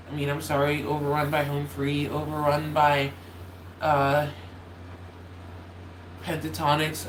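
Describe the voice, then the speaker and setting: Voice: medium pitch, a bit bright. A nasal voice that cracks a little, drifting through casual livestream chat and often stopping to apologize or restart. The room sounds echoey, with a faint space heater humming behind it. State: voice slightly cracking. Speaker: YouTuber hosting a holiday livestream. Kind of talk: casual rambling chat to viewers. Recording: roomy echo, faint heater hum.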